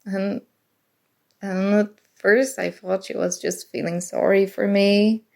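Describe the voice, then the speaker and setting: Hesitant, creaky-voiced young woman played by an actress. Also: medium pitch, fairly even intonation. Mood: nostalgic. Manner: hesitant, halting, sighing. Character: shy, awkward college-age former goth woman, nervously confessing. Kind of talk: intimate ASMR roleplay acting.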